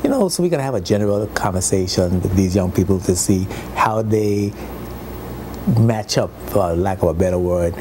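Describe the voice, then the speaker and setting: A man hosting a TV interview show, relaxed and full of fillers. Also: deep voice, normal speed. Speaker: TV show host. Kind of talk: studio interview. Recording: noisy TV recording.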